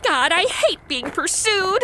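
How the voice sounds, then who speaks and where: A woman playing a sarcastic, excitable young amateur sleuth in a comedy audio drama, with a flippant, exclamatory delivery. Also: very high voice, slow pace, slight background noise, exaggerated voice.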